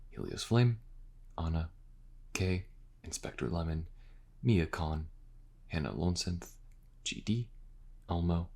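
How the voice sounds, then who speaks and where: A man with an extremely low voice that has a creaky edge. He chats casually to his listeners as the creator signing off after a piece of audio. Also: darkish tone. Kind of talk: casual outro chat to listeners.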